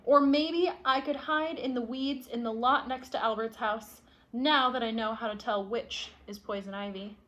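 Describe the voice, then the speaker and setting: A woman's voice: a little high, normal speed, a bit bright, dull audio, close to an everyday voice. A woman voicing a stubborn young storybook boy who refuses to move, speaking emphatically and defiantly. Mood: scheming. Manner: emphatic, defiant first-person storybook delivery.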